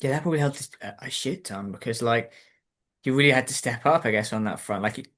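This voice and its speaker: A man who hosts a relaxed chat, his voice at a middling pitch. He rambles along easily and keeps dropping "like" and "um" as he talks. The interview stays informal and friendly in tone. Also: fairly quick. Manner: rambling and chatty, full of "like" and "um". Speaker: interview host. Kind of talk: casual conversational interview.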